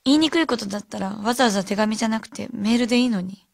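Hesitant high school girl, higher voice with gravelly creak, radio drama. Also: very fast, no echo, studio quality. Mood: puzzled, worried.

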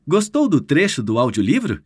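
A Brazilian man delivers a scripted promo as an announcer. His fairly high voice swings in a lively way and has a husky edge. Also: normal speed, dry recording, clean studio sound. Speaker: announcer for an audiobook publisher.

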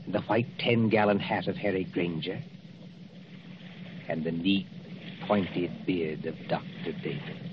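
An actor as an ominous, theatrical narrator of a 1940s radio thriller, speaking in rhetorical questions. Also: male voice, sits low, steady tone, unhurried, pauses often, background noise. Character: ominous storyteller painting eerie scenes. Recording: dull old radio broadcast, music behind. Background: dramatic music.

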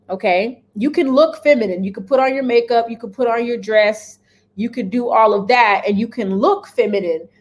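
A woman in her thirties giving her views to camera from her home kitchen, direct and emphatic, often checking in with "okay?". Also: mid-range voice. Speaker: lifestyle vlogger. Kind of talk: casual opinion chat to camera.

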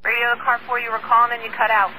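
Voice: medium pitch, monotone, racing. An emergency dispatcher giving brief procedural read-backs over a two-way radio. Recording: slightly noisy scanner radio.

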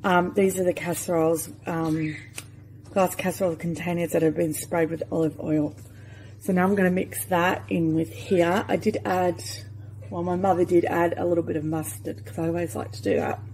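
A woman's voice: fairly low pitch, normal speed, slight background noise. Chatty woman in a home kitchen. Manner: chatty, trailing fillers, sometimes soft and near-whispered. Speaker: cooking vlogger. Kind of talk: casual cooking commentary. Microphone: handheld phone camera.

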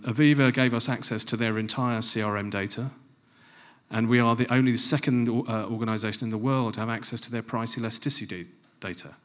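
Middle-aged guy on a big stage w handheld mic, lowish voice, punchy then trails off quiet. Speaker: conference speaker. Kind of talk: conference presentation. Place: large conference stage. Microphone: handheld microphone.

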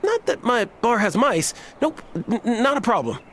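A woman's voice: fairly high pitch, big pitch swings, unhurried, slight background noise. A woman playing a wry, defensive bar owner in a video game. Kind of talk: video game character dialogue.

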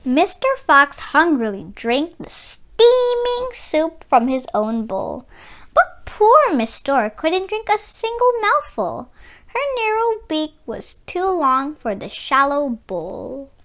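A woman narrating a children's storybook aloud in an expressive read-aloud style. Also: high-pitched, takes it slowly.

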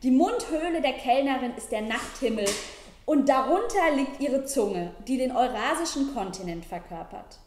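A young woman, a university lecturer, presenting and then reading an essay aloud in a measured, careful way, seated at a table in an ornate room. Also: sits high, expressive, darkish tone, a little hiss or hum. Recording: echoey room.

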